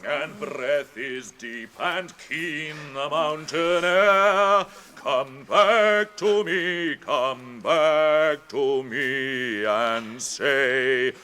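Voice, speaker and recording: An actor playing an ancient, kindly tree-giant in a British radio drama, ponderous and musing, with old-fashioned phrasing and a temper that can be stirred. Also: male voice, medium pitch, bright, forceful tone, clear, resonant tone. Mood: solemn chanting.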